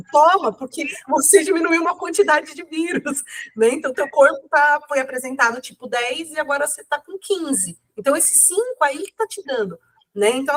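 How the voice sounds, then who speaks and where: A woman's voice: sits high, a bit bright, rough recording. A woman with a cold, speaking casually as an interview guest, rambling with many fillers and self-corrections. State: has a cold.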